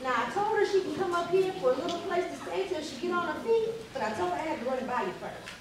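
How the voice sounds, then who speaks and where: A woman's voice: very high-pitched, expressive, rapid-fire. A woman on stage playing a folksy, affectionate, meddling older mother. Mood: chatty. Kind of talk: stage play acting. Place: indoor theater stage. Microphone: far camera. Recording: echoey theater, distant mic, audience noise.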